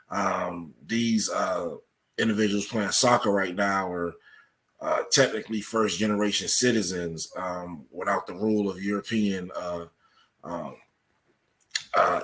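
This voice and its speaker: Low-pitched man explaining in halting phrases. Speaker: podcast guest. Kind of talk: explanatory interview talk.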